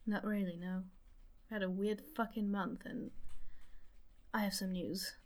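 A woman's voice: mid-range voice, steady tone, a little slow, a little mellow, close to an everyday voice. A woman playing a weary, self-doubting second-in-command in a naturalistic audio drama, speaking in an understated, hesitant way. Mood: weary, guarded.

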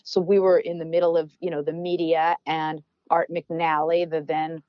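A middle-aged woman, a former team executive, telling a story as a podcast guest in a loud, animated way that gets worked up. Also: sits low, normal speed, no room sound, dull audio. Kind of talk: storytelling in conversation.

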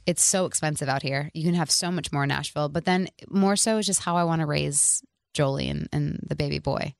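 Bubbly, laughing woman guest, lowish voice. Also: brisk, vocal fry, no echo, clean studio sound. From American Midwest. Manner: bubbly, laughs often, upbeat. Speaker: guest, country singer. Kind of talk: casual interview chat. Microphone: studio microphone.

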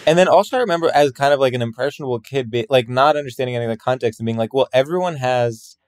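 A man in his thirties from Greece, a guest comedian telling quick, self-deprecating anecdotes in casual chat. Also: medium pitch, clean tone, dry recording, clean studio sound.

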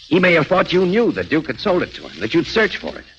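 Shrewd, animated 1940s radio newsman. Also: on the high side, quick pace, muffled recording. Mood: confident, wry. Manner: wry, confident. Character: shrewd, wisecracking male crime photographer. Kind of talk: 1940s radio drama acting. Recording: faintly noisy old 1940s radio recording.

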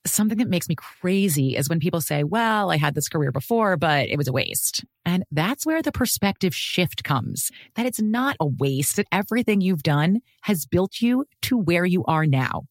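A woman's voice: fairly low pitch, lively intonation, normal speed, few pauses, dry recording, clean studio sound. A woman hosting a podcast, speaking in a promo for her show. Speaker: podcast host. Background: music bed.

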